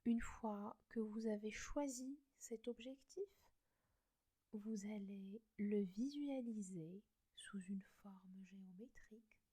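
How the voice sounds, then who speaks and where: A woman in a soft breathy whisper, gently guiding a meditation close to the mic. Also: medium pitch. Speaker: meditation guide.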